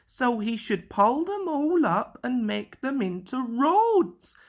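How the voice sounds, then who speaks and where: Woman voicing slow-spoken little storybook engines. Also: high voice, very animated, pitched above the performer's own voice, heavily acted. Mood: horrified. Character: worried male engines complaining and urging on. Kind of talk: children's storybook character voice.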